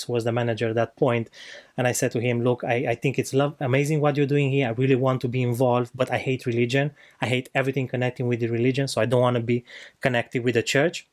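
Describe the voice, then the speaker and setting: A young man speaks English with a Romanian accent, telling his own story on a podcast. He is earnest and searches for words, stopping and restarting often. His voice sits at an ordinary middle pitch for a man. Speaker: podcast co-host. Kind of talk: casual personal testimony. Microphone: video podcast mic.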